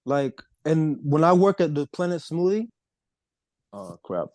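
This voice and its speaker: A man's voice: on the high side, very animated, measured pace, a little mellow, no echo. A young American man chatting casually as a podcast co-host, rambling with lots of fillers. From United States. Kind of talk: casual chat.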